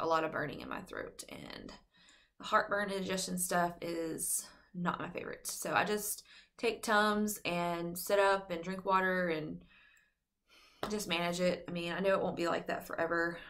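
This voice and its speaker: A woman's voice: fairly low pitch, fairly even intonation, unhurried, a bit bright. Chatty pregnant young woman vlogger. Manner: chatty, rambling, many fillers and "like". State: heavily pregnant, short of breath. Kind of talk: casual pregnancy update vlog.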